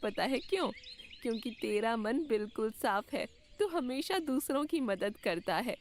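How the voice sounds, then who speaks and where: A woman's voice: a little high, lively intonation, measured pace. A woman voicing a kindly magical old woman in a cartoon fairytale, speaking in a soothing, motherly way. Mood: benevolent, assuring. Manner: soothing, motherly, addresses listener as son. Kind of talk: Hindi cartoon fairytale acting.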